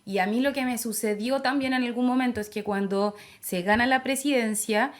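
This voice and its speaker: A woman's voice: on the high side, normal speed. A young adult woman with a Chilean accent, giving reflective opinions in a conversational interview. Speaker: interview guest, political activist.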